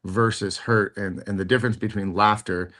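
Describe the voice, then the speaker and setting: A middle-aged man co-hosting a podcast in casual chat, talking in halting bits with lots of fillers and restarts. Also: fairly low pitch, steady tone, fairly bright tone. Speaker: podcast co-host.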